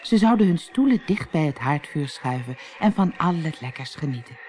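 An audiobook narrator reading a children's fairy tale in an expressive, clearly paced storytelling manner. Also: mid-range voice, moderate pace, a little hiss or hum.

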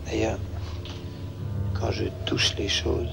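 A man's voice: lowish voice, little pitch movement, slow, creaky, full character voice. An actor playing a world-weary, self-deprecating man in film dialogue, with music and noise behind. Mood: confessional, weary.

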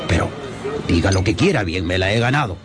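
An actor playing a vain, lecherous and possessive older gentleman in a radio novel, with a pompous manner. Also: deep voice, expressive, brisk. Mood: defensive, pompous. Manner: pompous, self-satisfied. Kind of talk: Spanish-language radio novel acting. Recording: studio radio drama with music bed. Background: music.

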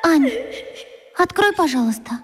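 A woman's voice: high-pitched, lively intonation, unhurried. A woman playing a tender-hearted, earnest young schoolgirl who pleads emotionally in a children's radio play. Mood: tender pleading.

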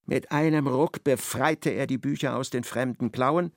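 A man giving measured, ironic literary narration in a radio play. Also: medium pitch, no echo, studio quality.

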